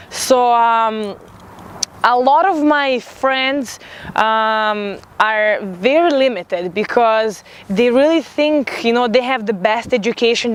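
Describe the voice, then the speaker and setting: A woman in her twenties from Serbia, an international student giving emphatic, opinionated interview answers with many fillers, outdoors in a city. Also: on the high side. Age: 20s.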